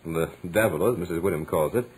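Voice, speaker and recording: An actor playing a studious, stubborn young lodger with dry humour, in measured, dramatic 1940s radio horror style. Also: male voice, deep voice, background noise, natural voice. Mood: polite, firm. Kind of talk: 1940s radio horror acting, first-person narration. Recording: old radio drama recording with music.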